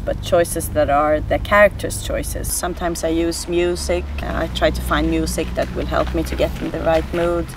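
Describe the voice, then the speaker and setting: A woman's voice: low voice, gravelly, recorded from a distance, a little hiss or hum. A woman in her thirties, a Swedish actress whose first language is Swedish, speaking thoughtfully in an outdoor interview with many hesitations. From Sweden. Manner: reflective, earnest, with frequent hesitations. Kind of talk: documentary interview. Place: outdoors on a lawn.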